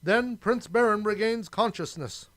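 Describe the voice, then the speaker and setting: Male narrator for an adventure radio play, high-pitched voice, scripted delivery. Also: unhurried, fairly bright tone.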